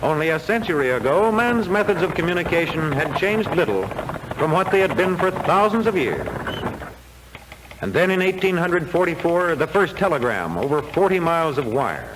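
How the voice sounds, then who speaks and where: Male narrator, 1940s classroom film. Higher-set voice with swinging intonation, formal and declamatory, vintage soundtrack. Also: very animated, background noise. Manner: formal, measured, declamatory delivery.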